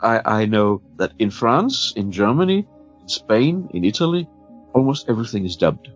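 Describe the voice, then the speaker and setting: A man's voice: fairly low pitch, big pitch swings, moderate pace, husky, muffled recording, faint music behind. A man from Denmark with a Danish accent, chatting carefully on a podcast, often repeating words as he searches for them. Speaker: podcast guest. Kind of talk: casual chat.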